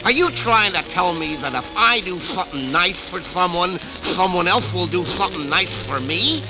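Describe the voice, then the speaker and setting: A man's voice: sits high, expressive, moderate pace, low-quality recording. A man voicing a grumpy, scheming cartoon cat with a slobbery lisp and blustery delivery on a vintage children's record. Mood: sly, doubtful. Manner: blustery, exclamatory. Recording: vintage 78rpm record with music.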